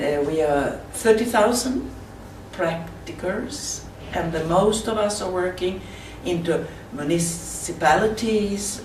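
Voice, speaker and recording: A Swedish woman with a Swedish accent, speaking halting non-native English in a slide presentation at a conference. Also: fairly low pitch, darkish tone. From Sweden. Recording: roomy, distant mic with faint hiss.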